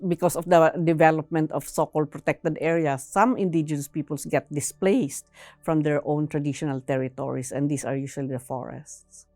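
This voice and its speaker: Low-pitched woman giving measured interview answers. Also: fairly even intonation, darkish tone, no room sound, soft background music. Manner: measured, halting, ending phrases with a tag "no?".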